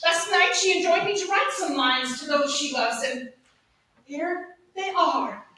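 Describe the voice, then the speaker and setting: A woman playing an earnest, lovesick young gentleman in a Shakespearean comedy, with halting, flustered delivery. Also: sits high. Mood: eager. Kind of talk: Shakespearean comedy staged reading. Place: large echoey indoor room, staged reading. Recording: echoey hall from far off, faint noise.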